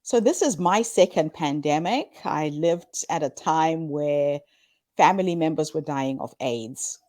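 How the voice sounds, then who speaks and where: Earnest low-voiced woman in her fifties. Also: lively intonation, unhurried, dry recording. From Zimbabwe. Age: 50s. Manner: earnest, upbeat storytelling with warm laughs. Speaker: guest, founder of a women's story platform. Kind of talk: conversational interview answers.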